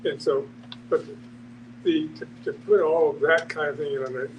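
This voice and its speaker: A man thinking aloud in a halting, reflective way during a casual discussion. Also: a little high, steady tone. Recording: distant mic, noisy humming room.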